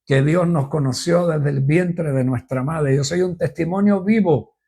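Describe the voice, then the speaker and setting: Older man, husky voice, informal preaching, rambling testimony, repeated refrains. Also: on the high side, a little slow, mellow. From Cuba. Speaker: apostle preaching on a live program. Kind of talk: informal live-stream preaching.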